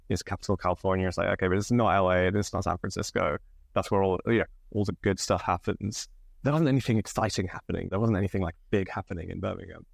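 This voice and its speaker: A man in his thirties with an English accent, slightly northern, telling stories from his life as a podcast guest, relaxed with lots of fillers. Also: sits low, gravelly, no room sound, studio quality. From England. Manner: relaxed, many fillers, laughs often. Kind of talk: candid conversational storytelling.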